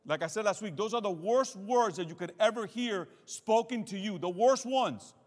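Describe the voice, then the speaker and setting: A male pastor with a high-pitched voice preaches in a big hall, his words ringing with long reverb. His delivery is emphatic and dramatic, built on repetition and pointed questions to the congregation. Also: normal speed. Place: large hall with congregation and screen, streamed to viewers at home.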